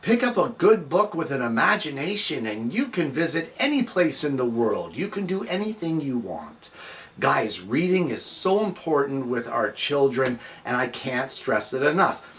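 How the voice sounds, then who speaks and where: Animated older man hosting a show. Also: mid-range voice, moderate pace, far from the mic. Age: middle-aged or older. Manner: earnest, addresses viewers as guys. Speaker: show host. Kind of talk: casual address to viewers and animated children's storybook reading.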